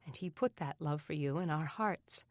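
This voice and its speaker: A woman voicing a gentle foster mother who speaks softly and tenderly. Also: sits low, normal speed, no room sound, natural voice. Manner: soft, tender.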